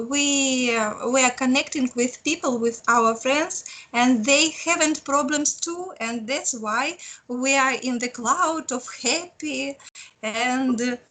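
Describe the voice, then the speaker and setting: Russian speaking woman, bright voice, slow and searching for words. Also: high voice, rough recording. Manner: hesitant, searching for words, laughing softly. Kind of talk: casual online group chat.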